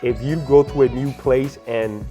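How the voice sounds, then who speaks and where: A man in his thirties, born in Italy and raised between Ghana, Italy and the US, talking casually as an interview guest. He sounds relaxed, laughs easily and keeps dropping in casual filler phrases. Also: medium pitch, moderate pace, soft background music. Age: 30s.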